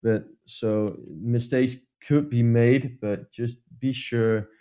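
A man's voice: mid-range voice, steady tone, slow pace, mellow, smooth. A man from the Netherlands with a Dutch accent, co-hosting a casual advice chat and talking hesitantly with many fillers and restarts. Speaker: podcast co-host.

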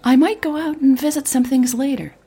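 A woman's voice: high voice, steady tone, a little fast, dark tone, voice pushed up. A woman voicing an easygoing, candid young woman blogger in an audio drama. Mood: casual, polite.

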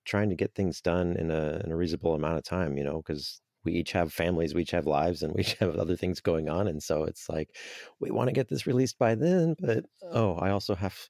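A man who is an audio drama producer and voice actor, chatting casually in an interview in a quick, self-deprecating way. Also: sits low, gravelly, darkish tone, no room sound, clean studio sound.

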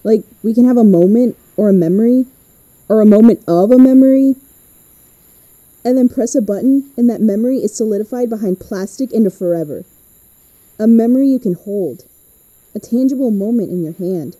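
A woman playing an anxious, chatty American high school girl who rambles nervously into an audio diary in a podcast drama. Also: normal speed, warm tone, dry recording, lightly acted, poor audio. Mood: earnest, wistful. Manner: nervous, rambling, self-deprecating.

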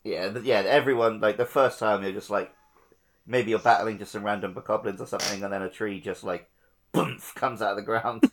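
A man's voice: lowish voice, fairly even intonation, brisk, a bit bright, a little hiss or hum. A man co-hosting a casual video game podcast, speaking in an informal, playful way. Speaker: podcast co-host.

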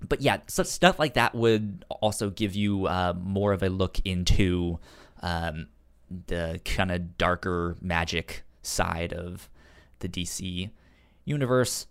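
Slow-talking man with a stutter. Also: low-pitched, clear, resonant tone. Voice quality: stutters, repeating sounds and words. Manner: halting, hesitant delivery. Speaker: podcast co-host. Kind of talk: casual chat recommending comics and shows.

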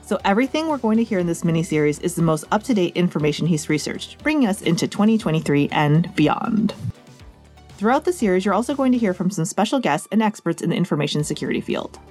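A woman's voice: lowish voice, expressive, quick pace, darkish tone. Wry woman hosting a privacy podcast. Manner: wry, jokey delivery of tips. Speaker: podcast host. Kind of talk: scripted podcast narration. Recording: faint music bed behind.

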